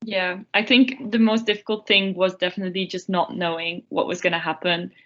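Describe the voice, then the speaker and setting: A woman's voice: lowish voice, flat delivery, brisk, fairly bright tone. A woman giving a casual answer as a guest in a group interview. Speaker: panel guest. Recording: dull, lo-fi audio.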